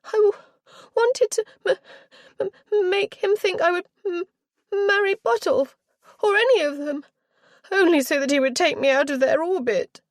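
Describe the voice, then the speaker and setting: A woman's voice: moderate pace, no room sound, studio quality. A woman voicing a sulky, spoiled young heiress. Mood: tearful. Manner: sulky, high-strung, rising to tearful. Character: petulant, self-centred young Regency heiress.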